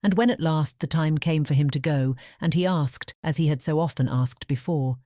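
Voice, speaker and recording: A woman narrating a scripted fairy tale audiobook as a measured storyteller. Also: low-pitched, mellow, dry recording, clean studio sound.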